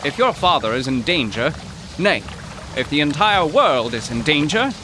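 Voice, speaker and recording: A man's voice: fairly high pitch, sing-song, moderate pace, background noise. An actor playing a nervous, bookish, college-educated man in an audio drama, speaking in a pompous, wordy, poetic way. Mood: anxious, resolute. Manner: pompous, wordy, flustered, literary diction. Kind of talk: audio drama acting in old-time radio manner.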